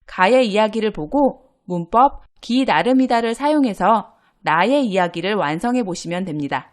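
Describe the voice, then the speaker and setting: A woman teaching a grammar lesson, explaining example dialogues clearly and patiently. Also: medium pitch, expressive, normal speed. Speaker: Korean language teacher.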